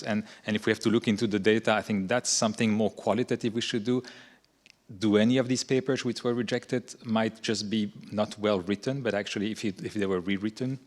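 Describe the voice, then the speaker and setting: A man with a fairly low voice talks on a conference panel. He hesitates and repeats words as he looks for the right phrasing. His voice rings out in a large, echoing hall. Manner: halting, repeats words while searching for phrasing.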